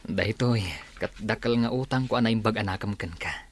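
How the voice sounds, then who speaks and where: An actor playing a grateful, earnest man recovering from illness in a radio drama, husky voiced. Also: fairly low pitch, moderate pace. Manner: thankful, earnest.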